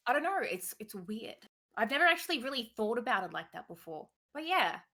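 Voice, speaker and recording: Bright-voiced Australian woman, casual. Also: on the high side, very animated, a little fast. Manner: soft-spoken, many ums, easy laughs. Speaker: guest streamer. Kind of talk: casual interview chat.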